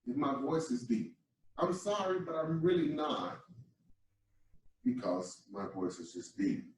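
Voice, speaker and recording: Male workshop participant, lively expressive speech, distant and echoey. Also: on the high side, unhurried. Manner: animated, expressive, speaking from personal experience. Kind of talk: group discussion. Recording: echoey room from far off.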